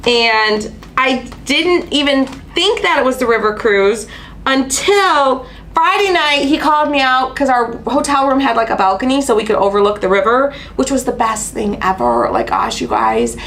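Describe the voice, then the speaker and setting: Stuffy-nosed chatty woman, bright voice. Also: sits high, normal speed, a little hiss or hum. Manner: chatty storyteller, lots of fillers and excited asides. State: stuffy and nasal from allergies. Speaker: host of a craft channel. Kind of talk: casual chat on a live stream.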